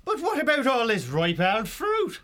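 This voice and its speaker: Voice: medium pitch, wildly swooping pitch, normal speed, fairly bright tone, voice pushed up. A narrator voicing a cheeky, eager stoat henchman with a folksy, agreeable manner in a storybook. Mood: chirpy, eager.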